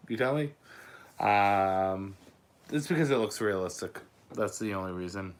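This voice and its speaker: A young man with a slightly raspy voice that is starting to give out, chatting casually and frankly to viewers of his live Q&A, swearing freely as he rambles through stories. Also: lowish voice, measured pace. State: losing his voice after being sick. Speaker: host of a live Q&A stream. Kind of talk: casual chat to online viewers. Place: a room upstairs at home. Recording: clearly audible background noise.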